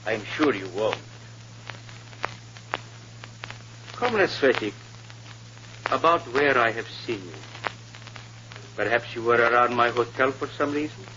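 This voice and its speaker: Male actor as Soviet spy chief, commanding, suspicious, measured, middle pitch, old radio play, muffled noisy recording. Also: animated, natural voice. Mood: quietly menacing. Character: stern, suspicious male Soviet agent giving orders. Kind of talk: 1950s radio drama acting.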